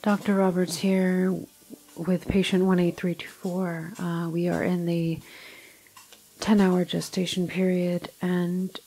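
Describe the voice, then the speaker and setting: A woman playing a detached female doctor in an ASMR roleplay, dictating a medical log softly and haltingly, often half in a whisper. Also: lowish voice, flat delivery, breathy edge, full character voice, rough recording. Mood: clinical, observing. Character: clinical female doctor logging a doomed quarantined patient.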